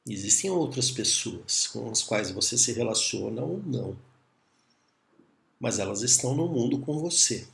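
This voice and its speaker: A man from Brazil with a Brazilian Portuguese accent, giving a calm, musing video lecture as a teacher, often pausing and adding little tag questions. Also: medium pitch, creaky.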